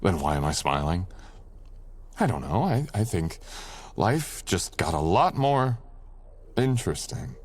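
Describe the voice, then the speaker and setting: A man's voice: sits low, big pitch swings, unhurried, exaggerated voice. A man playing a powerful, dangerous shadow being, a smug and possessive boyfriend, in an intimate ASMR audio roleplay with dramatic, teasing delivery. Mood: menacing, amused.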